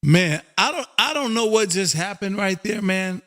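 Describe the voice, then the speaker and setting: An American man hosting a ham radio stream, chatting in a loud, folksy, chummy way and often saying "man". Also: sits high, lively intonation, moderate pace. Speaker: amateur radio operator and livestream host. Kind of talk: casual ham radio contact chat.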